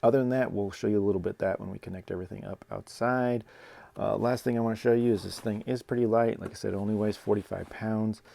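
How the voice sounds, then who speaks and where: A man's voice: on the low side, steady tone, fast, creaky, mellow. A man giving a casual, informal product demonstration as a reviewer, explaining things step by step and repeating phrases. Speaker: equipment reviewer and host.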